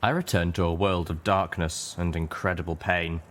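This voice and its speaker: A man's voice: deep voice, fairly even intonation, moderate pace. A man reading a scripted story aloud as a dramatic audiobook narrator. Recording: echoey, distant mic, loud music behind.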